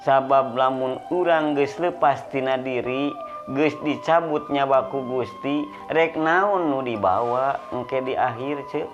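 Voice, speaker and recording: A man's voice: mid-range voice, slow pace, muffled recording, over music, natural voice. A man playing a humble, poor debtor who pleads patiently and moralizes in a Sundanese folk story, with a Sundanese accent. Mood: earnest.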